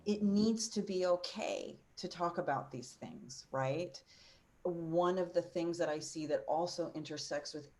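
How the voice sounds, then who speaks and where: Low-voiced, passionate woman in her forties. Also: mellow, a little hiss or hum. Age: 40s. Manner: passionate, emphatic and earnest. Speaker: opera singer and voice teacher on a panel. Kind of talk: panel discussion. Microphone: video call.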